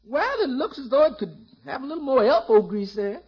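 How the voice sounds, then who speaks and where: An actress playing a good-humoured, frank housekeeper in a 1950s radio comedy, with folksy, droll delivery. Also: female voice, high voice, very animated, fairly quick, husky, heavily acted. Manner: folksy, dialect grammar, droll repetition. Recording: dull old radio recording.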